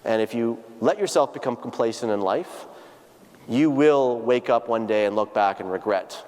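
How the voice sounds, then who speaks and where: A man's voice: mid-range voice, moderate pace, darkish tone. A man in his thirties speaks earnestly to a large audience, telling a personal story with frequent restarts and fillers. His voice sits in the middle of the male range, and the lecture hall around him adds a long, trailing reverb. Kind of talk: plenary lecture to students.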